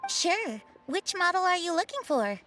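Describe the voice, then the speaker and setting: A woman's voice: extremely high pitch, very animated, normal speed, pushed and bright, faint music behind, exaggerated voice. A woman playing an antiques shop owner in a video game, speaking in polite sales talk.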